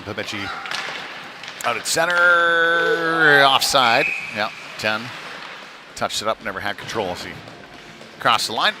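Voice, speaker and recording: A man doing live hockey play-by-play in a loose, conversational way, with hesitant pauses mid-call. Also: mid-range voice. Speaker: play-by-play commentator. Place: ice rink. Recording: noisy live rink ambience.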